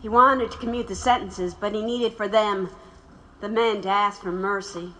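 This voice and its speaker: Actress as a tough, bright-voiced revolutionary widow. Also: female voice, mid-range voice, steady tone, normal speed, natural voice, low-quality recording. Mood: reflective, bitter. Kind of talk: audio drama acting.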